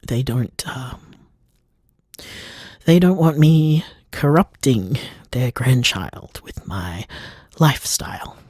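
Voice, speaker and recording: Man acting as a slow, halting, wounded man. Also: mid-range voice, sing-song, darkish tone, no echo, studio quality. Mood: shaken, halting. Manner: halting, repeating himself, emotional. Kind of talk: audio drama voicemail monologue.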